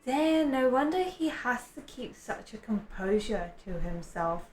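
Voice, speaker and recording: A woman's voice: a little high, big pitch swings, measured pace, soft background music. A woman giving casual live reaction commentary as a game streamer. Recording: roomy echo, faint background noise.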